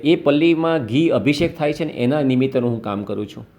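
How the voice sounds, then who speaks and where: A man's voice: mid-range voice, animated, fast talker, a little mellow. Man patiently advising a caller. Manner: patient, instructive, explains step by step. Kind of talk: phone call advice.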